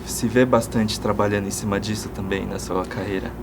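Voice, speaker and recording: A man's voice: fairly low pitch, steady tone, fairly quick, noisy background. A man interviewing a guest at a press event, asking eager, friendly questions. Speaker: entertainment interviewer.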